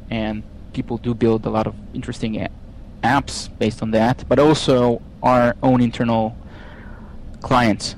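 A man from Israel with an Israeli accent, speaking English as a guest in a technical interview, with frequent pauses, fillers and restarts. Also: mid-range voice, a little mellow, slight background noise, rough recording. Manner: hesitant, many fillers and restarts. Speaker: software engineer guest.

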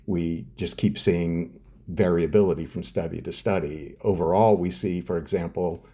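Low gravelly man, halting explanations. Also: steady tone, warm tone. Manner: hesitant, many filler uhs and restarts. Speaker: neuroscience researcher guest. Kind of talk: explanatory interview answers.

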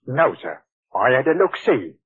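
Blunt, animated English church caretaker, tinny. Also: male voice, mid-range voice, normal speed, husky, no echo. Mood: dogged, uneasy. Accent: British English. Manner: colloquial, deferential, dogged. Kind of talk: 1940s radio drama acting. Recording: tinny old radio broadcast.

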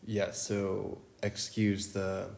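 A man's voice: deep voice, monotone, drawn-out words, gravelly, darkish tone. A man co-hosting a casual Bible study podcast, thoughtful and enthusiastic, often restarting his phrases. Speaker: podcast co-host.

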